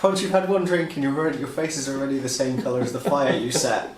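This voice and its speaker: A man's voice: mid-range voice, fast, noisy background. A British man bantering casually as a player in a tabletop roleplaying game. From Britain. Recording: echoey room, background chatter.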